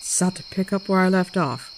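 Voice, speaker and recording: A woman's voice: on the low side, normal speed, slight background noise, lightly acted. A woman acting a tough, scornful woman in her seventies, a domineering crime matriarch with sardonic, theatrical delivery in a motion comic. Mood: grim recounting.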